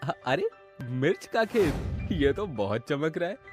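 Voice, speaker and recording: A carefree, stubborn villager in a cartoon tale acted by a man. His voice is fairly high for a man, and music plays loudly behind. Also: sing-song, unhurried. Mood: curious. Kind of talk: animated Hindi story dialogue.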